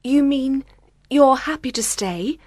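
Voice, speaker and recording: A woman's voice: high voice, slow, a little mellow, no room sound, studio quality, voice pushed up. A woman voicing an outspoken, emotional, strong-willed young woman, earnest and emphatic, in a dramatised audiobook. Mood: pleading.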